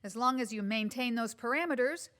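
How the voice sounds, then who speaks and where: A woman's voice: a little high, a little fast, fairly bright tone, natural voice. A woman playing a prim, self-conscious TV news anchor with a dramatic, flustered manner in a comedy sketch. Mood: newsreader formality. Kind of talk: comedy sketch acting, theatrical.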